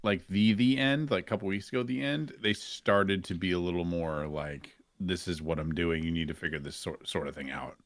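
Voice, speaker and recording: A man's voice: sits low, a little fast, hardly any pauses, no echo. A man speaking casually as a guest on a gaming podcast. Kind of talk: casual chat.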